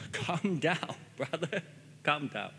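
Male pastor, Chinese native speaker, earnest sermon, slow and lively delivery, long hall reverb. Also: fairly high pitch, slight background noise. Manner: earnest, repeats phrases, stammering restarts.

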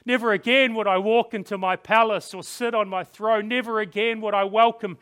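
Proud biblical king , performed by a man: high, forceful voice, emphatic dramatic monologue, quick to wrath. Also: steady tone, smooth. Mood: stricken, grieving. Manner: theatrical, emphatic, with dramatic pauses. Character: proud, wrathful king of Judah telling his own downfall.

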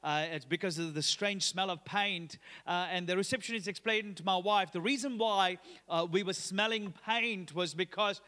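Guest preacher in his thirties, born in Sri Lanka, high and bright voice, chatty delivery full of fillers, long hall reverb. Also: moderate pace, hardly any pauses. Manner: upbeat, chatty, many "uh" fillers. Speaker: guest pastor. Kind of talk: sermon with personal story. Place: church auditorium.

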